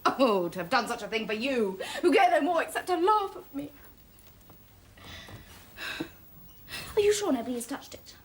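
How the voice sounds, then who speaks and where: A woman's voice: on the high side, very animated, normal speed, slight background noise. A woman playing a proud, romantic young lady in a stage comedy, with a declamatory, emotional delivery. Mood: distressed. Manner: declamatory, noble posturing, emotional swings.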